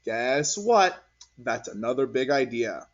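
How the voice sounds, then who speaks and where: A man voicing an encouraging schoolteacher in a children's storybook, speaking in measured, instructive, emphatic phrases. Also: mid-range voice, expressive. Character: encouraging male schoolteacher guiding young children. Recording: dull lo-fi recording.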